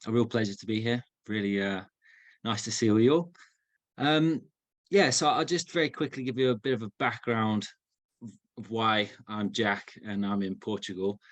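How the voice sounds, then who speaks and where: A young man from England giving an informal talk over a video call, relaxed, with many fillers and restarts. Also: lowish voice, no echo. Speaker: guest speaker. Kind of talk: informal online presentation.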